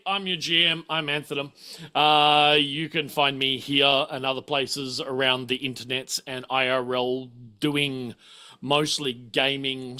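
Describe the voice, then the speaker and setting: A man's voice: medium pitch, pushed and bright. A man, chatting casually as a game master in a rambling, sweary way. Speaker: game master of a streamed tabletop RPG. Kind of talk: casual chat.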